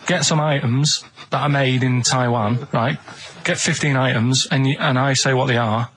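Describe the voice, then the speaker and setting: A man in casual comic chat, explaining things earnestly in a halting, muddled way. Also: medium pitch, steady tone, fairly bright tone, slight background noise, rough recording. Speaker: radio show regular pitching ideas.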